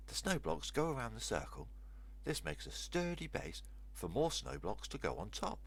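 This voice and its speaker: A man's voice: medium pitch, wildly swooping pitch, normal speed, gravelly, voice pushed up, heavily acted. A man voicing an eager young boy who explains things simply and helpfully in a children's storybook. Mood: eager, instructive. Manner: instructive, simply worded. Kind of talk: children's storybook read-aloud.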